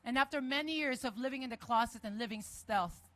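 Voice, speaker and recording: A woman from the Philippines with a Filipino accent, giving a lively, personal speech at an outdoor march. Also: fairly high pitch, a little fast, dry recording. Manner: enthusiastic, personal. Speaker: guest speaker at a march. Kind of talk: rally speech. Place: outdoor rally.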